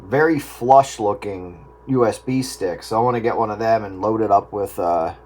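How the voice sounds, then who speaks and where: A man's voice: on the low side, moderate pace, a little mellow. A man having a casual, unscripted chat over the radio.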